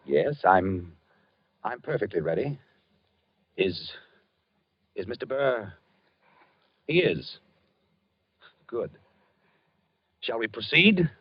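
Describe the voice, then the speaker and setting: Slow, low-voiced sleep-talking doomed gentleman. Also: big pitch swings, husky, no room sound, full character voice. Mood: dreamy, courteous. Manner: formal, halting, dreamy. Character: doomed gentleman sleep-talking through a duel, courteous and resigned. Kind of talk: old-time radio drama acting. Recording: dull, muffled vintage radio recording.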